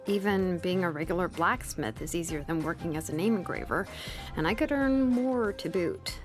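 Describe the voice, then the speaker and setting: A woman voicing a laid-back, sleepy young female blacksmith and name engraver from a video game, languid and nonchalant with soft hesitations. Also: on the low side, moderate pace, lightly acted. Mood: matter-of-fact. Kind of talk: video game character voice lines. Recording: music behind the voice. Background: music.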